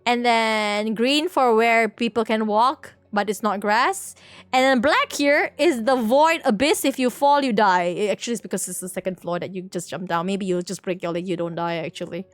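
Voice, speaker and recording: A woman's voice: mid-range voice, normal speed, no echo, soft background music. A woman livestreamer explaining things casually to viewers in a rambling, playful way, repeating words and correcting herself as she goes. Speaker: livestream host.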